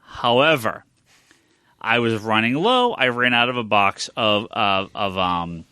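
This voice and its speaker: Animated, bright-voiced man hosting a podcast. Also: fairly low pitch, a little slow, clear, resonant tone, no room sound. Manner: animated, joking, swears casually. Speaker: podcast host. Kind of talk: casual comic book podcast chat.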